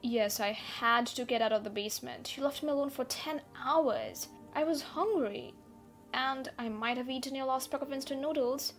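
A woman's voice: high-pitched, normal speed. A woman acting an easygoing, teasing gamer captive in an audio roleplay, speaking in a playful, nonchalant, conversational way. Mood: defensive. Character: easygoing, teasing female gamer held captive, unbothered adult. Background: background music.

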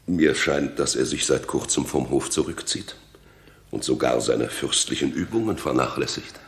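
Very deep, gravelly old nobleman. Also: expressive. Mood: deferential, grave. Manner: formal, deferential, measured. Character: aging, loyal, homesick Sicilian nobleman and royal counsellor. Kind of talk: 1960s German radio drama acting.

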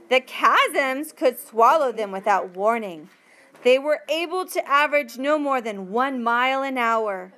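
Slow-paced woman reading aloud. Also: sits high, pushed and bright. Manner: careful, deliberate phrasing. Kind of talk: slow read-aloud of a textbook passage.

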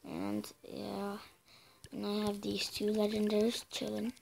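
Raspy woman channel host, slow and hesitant, mumbling asides between louder bursts. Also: medium pitch, sing-song, low-quality recording. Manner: halting and filler-heavy, drifting between loud bursts and mumbles. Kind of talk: casual game commentary over a screen recording.

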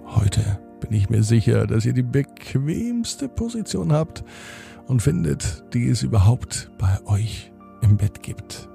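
A man hosting a children's podcast, gently reading a bedtime story in a calm, soothing way. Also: medium pitch, takes it slowly, vocal fry, faint music behind.

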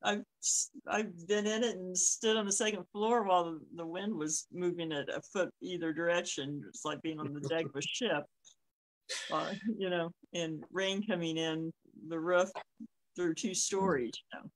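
A middle-aged woman telling stories casually on a video call, often pausing mid-thought. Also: lowish voice, fairly even intonation, low-quality recording. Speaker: co-host. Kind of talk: casual conversational storytelling. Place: small room at home, on a video call. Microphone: device mic on a video call.

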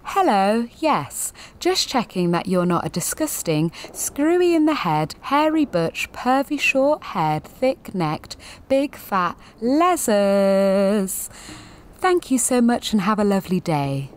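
An actress voicing a falsely polite, insulting council official, officious and prim, in an audio drama. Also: female voice, medium pitch, big pitch swings, slow. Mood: mock-polite, sneering.

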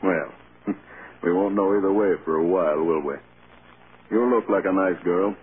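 An actor playing a blunt, paternal movie studio boss in an old-time radio drama. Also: male voice, sits low, normal speed, lightly acted. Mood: businesslike. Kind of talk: 1930s radio drama acting. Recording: muffled, noisy old radio recording.

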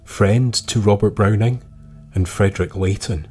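A man narrating a scripted history podcast in a deliberate storytelling way, with dramatic pauses. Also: on the low side, fairly even intonation, a little mellow, faint music behind.

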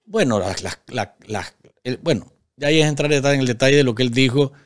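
A middle-pitched man in his forties speaks with a Nicaraguan accent as the host of a live political commentary show. He is emphatic, repeating phrases for weight and posing rhetorical questions. He talks into a microphone at a studio desk. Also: expressive, a little fast. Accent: Nicaraguan Spanish. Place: small indoor studio at a desk.

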